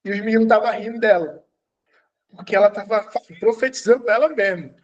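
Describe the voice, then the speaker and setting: High-voiced man preaching to a class. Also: fairly quick, muffled recording. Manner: exhorting, repeats commands, laughs while telling stories. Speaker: apostle teaching a class. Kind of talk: teaching an online church class.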